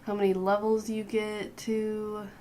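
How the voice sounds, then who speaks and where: A young woman, hoarse from a cold, giving a casual game guide voice-over and often clearing her throat. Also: medium pitch, flat delivery, a little slow, mellow, a little hiss or hum. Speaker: gaming youtuber.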